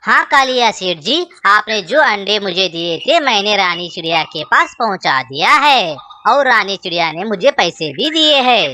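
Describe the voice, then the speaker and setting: A woman's voice: mid-range voice, very animated, normal speed, hardly any pauses, bright, forceful tone. A woman voicing a hapless, honest male crow who pleads earnestly in a children's cartoon story. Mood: dutiful.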